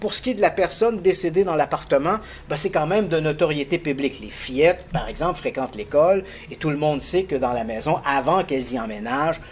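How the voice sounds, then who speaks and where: A middle-aged man explaining a strange case as a guest, telling it like an animated storyteller who chuckles easily. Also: fast talker, few pauses, slight background noise. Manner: animated, lively storyteller with frequent pitch jumps. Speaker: guest expert on paranormal cases.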